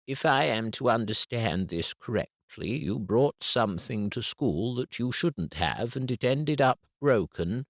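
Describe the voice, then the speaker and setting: A man voicing a calm, formal female head teacher in an audiobook, speaking patiently and carefully. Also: medium pitch, unhurried, hardly any pauses, no echo, studio quality, pitched above the performer's own voice. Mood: measured.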